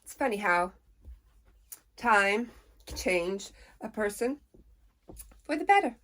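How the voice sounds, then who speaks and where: A middle-aged woman chatting casually to camera about books, unhurried and folksy, with a playful, expressive way of talking. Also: medium pitch, a little hiss or hum. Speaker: book reviewer on a personal channel.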